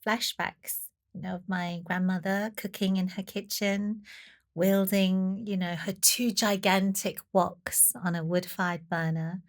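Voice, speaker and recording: A woman's voice: medium pitch, steady tone, a little slow, dry recording, studio quality. A woman, telling her story as an interview guest, chatty and full of "you know" fillers. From Taiwan. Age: 40s. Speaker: chef and guest. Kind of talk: conversational storytelling.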